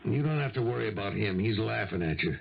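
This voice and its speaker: Gravelly, exasperated police lieutenant. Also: male voice, lowish voice, lively intonation, fast, exaggerated voice. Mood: dismissive. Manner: scolding, world-weary. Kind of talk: radio mystery drama acting. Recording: muffled 1970s radio drama recording.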